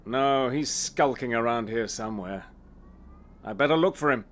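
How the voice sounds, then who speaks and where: A man's voice: medium pitch, normal speed, fairly bright tone, natural voice. An actor playing a middle-aged, world-weary but caring godfather in a video game, with a British accent. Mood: brooding. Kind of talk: video game cutscene acting.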